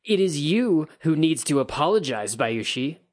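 A drunk samurai bellows slurred insults, his voice jumping high and loud with contempt, as a man reads him aloud. He sounds belligerent and sloppy, spoiling for a fight in a storybook street brawl. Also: big pitch swings, moderate pace. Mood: contemptuous.

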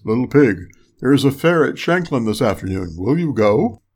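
A man voicing a sly, menacing, hungry wolf in a fairy tale, with booming, drawn-out, coaxing delivery. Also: very animated, a little mellow, breathy edge. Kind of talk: storybook character voice.

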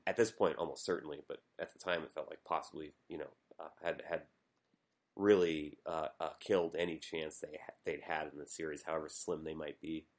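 Podcast guy with a low voice, rambly casual commentary, pokes fun at himself. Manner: rambling, self-deprecating commentary. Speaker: podcast co-host. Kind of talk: casual chat.

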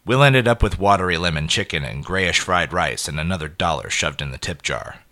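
Low, bright-voiced male story narrator. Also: expressive, fairly quick. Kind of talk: scripted narration of short fiction.

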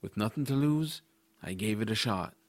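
A man playing a curious, adventurous young boy who narrates his own story in an expressive, earnest audiobook style. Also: on the low side, moderate pace, dry recording. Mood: suspenseful. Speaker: narrator and lead character.

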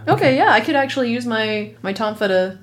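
A woman's voice: a little high, animated, brisk. A woman chatting casually as a player in a tabletop roleplaying game.

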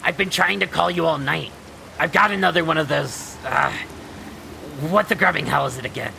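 An actor in a fan-dub audio drama voicing a sardonic, sharp-tongued alien woman anxious about a friend, with a raspy voice. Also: medium pitch, normal speed, slight background noise, poor audio. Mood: impatient, worried.

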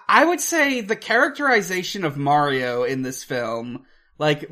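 A podcast co-host recapping a film casually, in a hesitant, halting way with searching pauses. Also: mid-range voice, bright, forceful tone.

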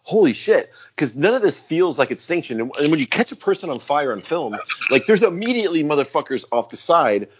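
A man's voice: a little high, brisk, hardly any pauses, lo-fi sound, noisy background. A man co-hosting a podcast in casual chat, rambling and swearing as he acts out lines. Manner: animated, rambling, swears often, acts out quotes. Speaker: podcast co-host.

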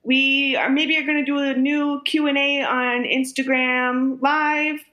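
A woman's voice: sits high, flat delivery, measured pace, roomy sound, muffled recording. A young woman co-hosting a podcast, encouraging listeners in a casual sign-off over a video call. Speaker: podcast co-host. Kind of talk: casual chat.

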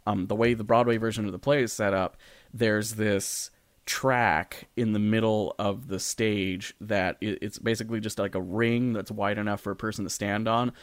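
A man podcast host with a fairly low voice, recorded very dry. He chats casually and rambles, hesitating as he searches for words and tossing in the odd swear. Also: fairly even intonation, normal speed, dry recording. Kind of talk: casual discussion.

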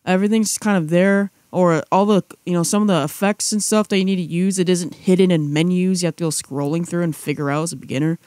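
A man's voice: sits high, steady tone, fast talker, few pauses, a little mellow, dry recording, studio quality. A young man chatting casually as a podcast co-host, rambling with restarts and fillers. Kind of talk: casual chat.

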